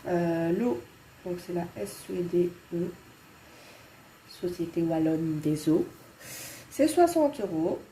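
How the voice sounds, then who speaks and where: A Belgian woman in her thirties talks slowly with a head cold, her voice low and mellow. She hesitates often and fills pauses with "euh" and restarts. A faint hum sits behind her. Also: lively intonation, rough recording. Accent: Belgian French. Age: 30s. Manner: hesitant, many fillers and restarts. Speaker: budgeting vlogger. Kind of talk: casual chat to viewers.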